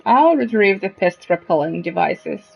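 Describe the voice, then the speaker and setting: A woman's voice: fairly low pitch, lively intonation, normal speed, gravelly, a little mellow, breathy edge, poor audio. A woman streaming a game, giving casual commentary and reading lines aloud.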